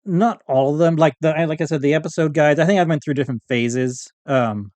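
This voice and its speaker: A man's voice: fairly high pitch, a little fast, darkish tone, no room sound. A middle-aged man speaking as a podcast guest in a casual, rambling, reminiscing chat. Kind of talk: casual interview chat about fandom and collecting.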